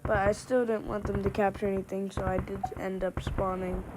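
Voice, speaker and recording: A woman giving a rambling gameplay commentary voice-over, slightly hoarse from a winter cold and full of fillers and restarts. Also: mid-range voice, little pitch movement, brisk, noisy background. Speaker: gameplay video creator.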